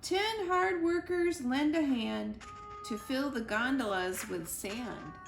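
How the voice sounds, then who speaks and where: A woman reads a picture book aloud for children, unhurried and expressive. Her fairly high voice has a noticeable creak, and she leans playfully on the rhymes. The room sounds echoey, with music behind. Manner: deliberate, playful emphasis on rhyming words. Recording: roomy echo with music behind.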